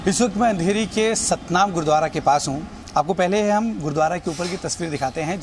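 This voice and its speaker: A man reporting news on location outdoors, explaining things as he goes, with city traffic noise around him. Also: on the high side. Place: outdoors in busy city streets. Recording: noisy outdoor street ambience, traffic. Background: urban street noise and vehicles.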